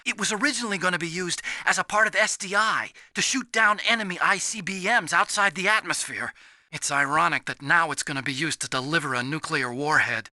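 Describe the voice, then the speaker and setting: An earnest, nervous tech expert from a video game. His voice is bright and excitable, recorded dry and close. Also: on the high side, fairly quick, no echo. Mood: earnest explaining.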